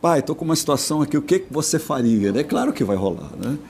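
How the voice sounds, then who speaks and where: A man co-hosting a football talk show, chatting quickly and casually and tagging many of his sentences with little questions. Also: a little high, very animated, creaky, darkish tone, a little hiss or hum. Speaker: sports show co-host.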